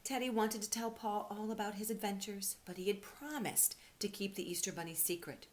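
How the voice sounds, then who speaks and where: A woman giving an expressive read-aloud for children as a storyteller and teacher. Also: medium pitch, fairly quick, a little hiss or hum.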